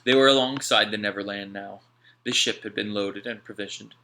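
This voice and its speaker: A man reading an audiobook aloud in an expressive storytelling style. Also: fairly low pitch, brisk, a little hiss or hum. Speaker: audiobook reader.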